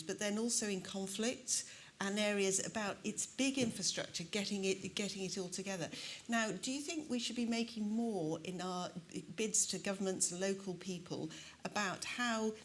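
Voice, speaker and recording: A woman's voice: medium pitch, slight background noise. A woman moderating a live panel, speaking in a chatty, emphatic way and rephrasing as she goes. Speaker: session moderator.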